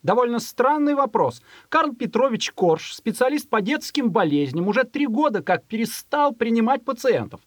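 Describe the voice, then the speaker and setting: A man's voice: very high-pitched, big pitch swings, moderate pace, hardly any pauses, no room sound, exaggerated voice. A man playing a fat, irritable old pharmacist in an audio play, huffy, fussy and sarcastic. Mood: indignant. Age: older.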